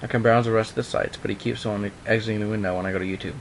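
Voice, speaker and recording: Low-voiced young man, noisy home recording. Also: steady tone, racing, vocal fry. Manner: informal, breaks off into offhand remarks. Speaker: online video maker reading a horror story aloud. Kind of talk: amateur dramatic reading with casual asides.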